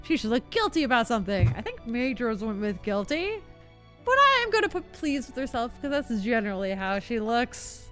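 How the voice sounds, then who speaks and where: A woman's voice: fairly high pitch, big pitch swings, moderate pace, fairly bright tone. A woman giving casual, teasing live commentary as she streams a game. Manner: chatty, teasing, giggly. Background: faint game music.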